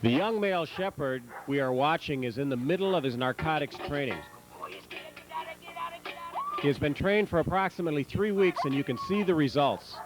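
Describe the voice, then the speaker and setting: A man reading scripted promotional narration in a measured, instructional manner. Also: on the high side, expressive, music in the background. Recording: noisy old VHS tape transfer.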